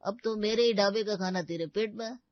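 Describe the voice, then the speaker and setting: A woman's voice: mid-range voice, fairly quick, no echo, voice pushed down, close to an everyday voice. A woman voicing a gloating, domineering older male eatery owner in a comedy story, taunting as he speaks, on muffled audio. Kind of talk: Hindi comedy story dramatisation.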